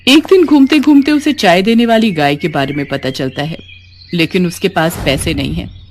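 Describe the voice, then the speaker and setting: A woman narrating a scripted moral story with expressive storytelling. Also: lowish voice, normal speed, slight background noise.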